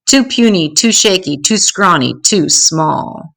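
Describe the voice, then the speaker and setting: An older woman storyteller reading a children's story aloud in an expressive, measured way. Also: on the low side.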